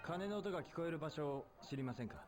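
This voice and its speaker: An actor playing a polite, brisk investigator in video game dialogue. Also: male voice, fairly high pitch, lively intonation, natural voice. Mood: polite inquiry. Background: clear game music.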